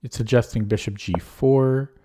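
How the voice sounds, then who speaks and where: A man's voice: medium pitch, steady tone, moderate pace, mellow. A man losing his voice, reviewing his chess game aloud in a casual, hesitant way with frequent ums and restarts. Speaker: chess streamer.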